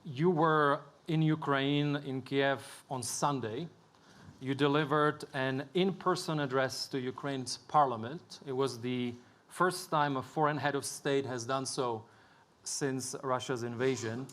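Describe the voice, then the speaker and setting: A man moderating a formal panel, introducing guests and putting questions to them in a measured way. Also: mid-range voice, fairly bright tone. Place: conference stage with an audience.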